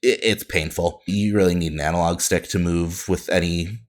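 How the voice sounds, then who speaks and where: A man's voice: deep voice, fairly even intonation, moderate pace. A man talking casually on a gaming podcast, explaining game controls with a dry, teasing manner. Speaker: podcast guest, game enthusiast.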